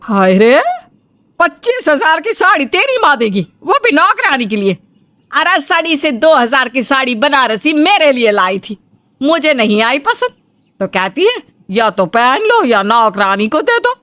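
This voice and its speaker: A woman's voice: sits high, sing-song, unhurried, bright, forceful tone, dry recording, exaggerated voice. A woman voicing an indignant, domineering middle-aged mother-in-law who scolds dramatically in a comic family story. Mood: defensive, indignant.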